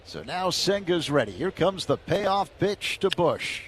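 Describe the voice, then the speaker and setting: A man calling baseball play-by-play on the radio in a steady, measured way. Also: sits high, a little hiss or hum.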